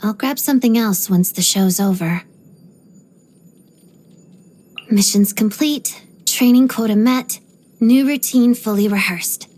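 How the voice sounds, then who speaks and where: Casting: woman to play a stoic young female ballerina for a video game; soft, husky-breathy voice, restrained and precise, introspective monologues. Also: on the high side, close to an everyday voice. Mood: composed, dutiful. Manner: measured, restrained, articulate. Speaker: video game character voice actor.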